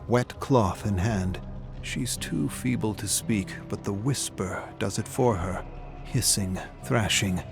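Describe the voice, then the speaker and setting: Guy narrating dark fantasy, real slow and serious, kinda low, dramatic, music going behind him. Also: vocal fry. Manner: grave, deliberate, theatrical.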